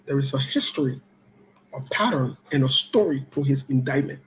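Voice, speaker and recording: A man hosting an online political talk show, giving live commentary and scolding viewers in a blunt, loose conversational way that swings between loud outbursts and low-key remarks. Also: animated, normal speed, slight background noise, low-quality recording.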